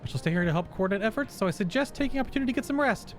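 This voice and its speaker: Man voicing dutiful fantasy game character, earnest, formal dialogue reading, slightly high pitch, faint music. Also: expressive. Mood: earnest, resolute. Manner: formal, measured wording.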